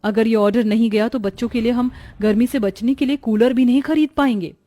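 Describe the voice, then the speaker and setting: A woman's voice: fairly high pitch, fairly even intonation, brisk, dark tone, close to an everyday voice. A woman voicing an earnest, hopeful young wife in a moral-story cartoon. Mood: reassuring.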